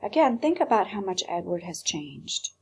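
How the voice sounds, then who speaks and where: A woman teacher reading a storybook aloud expressively, with dramatic pauses and hushed phrases. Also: fairly low pitch, very animated, vocal fry, slightly rough, poor audio.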